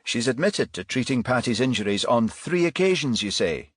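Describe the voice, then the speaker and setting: A man voicing a stern, methodical police detective in an audiobook, formal and measured as he presses his questions. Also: medium pitch, sing-song, slightly rough, dry recording, pitched above the performer's own voice. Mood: brisk, businesslike.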